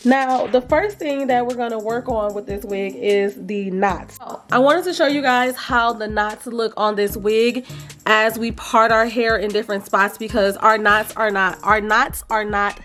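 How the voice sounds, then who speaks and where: Female beauty vlogger, highish voice with a froggy rasp, informal and playful chat, music underneath. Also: a little slow, a bit bright. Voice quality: slightly raspy, froggy. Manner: chatty, informal, playful asides. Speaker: vlogger reviewing wigs. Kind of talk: casual chat to viewers, beauty review.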